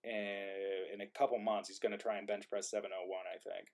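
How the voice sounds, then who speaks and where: A man hosting an online live stream in casual chat, thinking aloud in a halting way as he goes. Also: on the low side, flat delivery, vocal fry, darkish tone. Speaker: host of an online live-streamed play reading. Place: remote group video stream.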